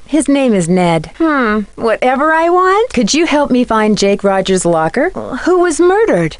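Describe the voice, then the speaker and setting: Curious young detective, dry close sound. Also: female voice, fairly high pitch, no room sound. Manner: inquisitive, clearly enunciated. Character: Nancy Drew, curious plucky young female amateur detective. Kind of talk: video game dialogue, questioning.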